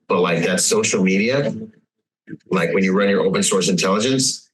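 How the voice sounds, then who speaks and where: A man's voice: low-pitched, a bit bright, poor audio. A man casually explaining how he assesses character in new hires.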